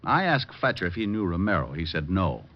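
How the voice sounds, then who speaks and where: An actor playing a cool, wisecracking private detective in old-time radio style, wry and clipped. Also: male voice, low-pitched, big pitch swings, a little fast. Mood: reasoning, brisk. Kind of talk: 1940s-50s radio acting. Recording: dull vintage radio recording.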